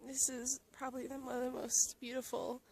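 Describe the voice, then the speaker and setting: A woman's voice: sits high, little pitch movement, brisk, a little hiss or hum, low-quality recording. Earnest young woman vlogging outdoors. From Alaska. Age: young adult. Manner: emotional, earnest, laughs often, soft breathy asides. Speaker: travel vlogger. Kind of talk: casual vlog talk to camera. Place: outdoors in a mountain town.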